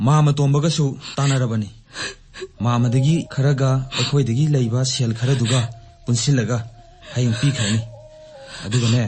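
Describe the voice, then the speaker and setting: A mid-pitched man of the family in a radio play , voiced by an actor, speaking very quickly. He is practical, protective and soothing, with faint music in the recording. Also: darkish tone, close to an everyday voice. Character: reassuring male relative, protective and practical. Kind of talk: radio drama acting.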